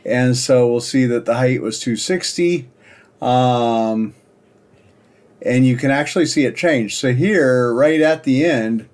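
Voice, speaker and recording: Male demo presenter, medium pitch, informal and explanatory, halting delivery for a screen-share walkthrough. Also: a little mellow. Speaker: presenter demonstrating a software project.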